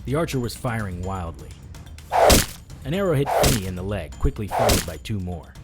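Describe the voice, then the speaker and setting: An actor playing a battered, wry private investigator, narrating in the first person in an audio drama. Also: male voice, lowish voice, sing-song, moderate pace, over loud music. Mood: urgent narration. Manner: dry, hard-boiled.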